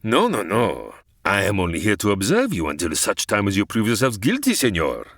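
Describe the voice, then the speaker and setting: A sly Cuban cop, played by an actor with a lowish, lively voice, close and dry. He is smarmy and leering, with courteous menace, in a radio comedy. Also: a little fast, no echo, full character voice. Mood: smug, leering. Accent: Cuban Spanish. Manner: giggling, leering, polite menace. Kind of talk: comedy audio drama acting.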